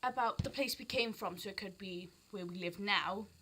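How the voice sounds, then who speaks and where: A woman's voice: medium pitch, normal speed, sharp tone. A teenage girl with a South Wales Barry accent tinged with English, rambling expressively in an unscripted casual rant as she jumps between thoughts. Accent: South Wales, Barry, with some English sounds. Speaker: vlogger.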